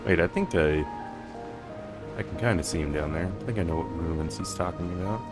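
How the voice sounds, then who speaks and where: A man with a low voice chats casually to himself as he plays a game. His commentary is informal and muttered, with game music clearly audible behind him. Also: brisk. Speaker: video game player. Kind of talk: casual let's-play commentary.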